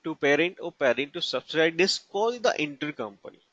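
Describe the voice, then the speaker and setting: Higher-pitched Indian-accented man, dull dry recording. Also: lively intonation, bright, forceful tone, no room sound. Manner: halting, broken into short phrases. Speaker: trainer. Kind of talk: instructional lecture with examples.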